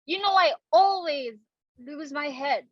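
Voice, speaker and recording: A woman's voice: high voice, a little slow, no echo, dull audio. A woman playing an anxious, earnest young society daughter in a dramatic reading of a stage comedy, with a pleading, theatrical delivery. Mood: flustered.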